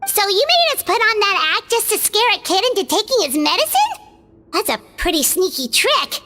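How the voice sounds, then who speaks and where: A woman voicing a tiny floating companion who is excitable and chatty and talks about herself by name. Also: extremely high pitch, normal speed, a little hiss or hum, exaggerated voice. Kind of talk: game voice acting. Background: music.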